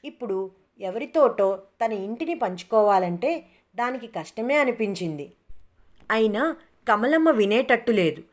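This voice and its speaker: A woman's voice: fairly high pitch, expressive, unhurried, pauses often. A woman storyteller reading a scripted moral tale as narrator.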